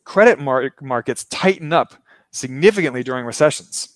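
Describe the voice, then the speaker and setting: A man's voice: medium pitch, expressive, normal speed, no echo. A man hosting a casual finance chat, explaining ideas in a loose lecturing way with frequent laughs. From Baltimore, Maryland. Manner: laughs often, talks with a loose lecturing rhythm. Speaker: show host. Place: remote, in Brazil.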